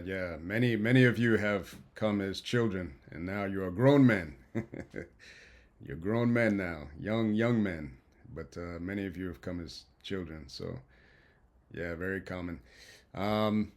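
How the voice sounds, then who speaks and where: A man with a fairly low voice leads a video-call class. He greets each student warmly and laughs often as he teases them. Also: measured pace. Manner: warm, laughing, teasing the students. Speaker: program instructor. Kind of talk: online class introductions.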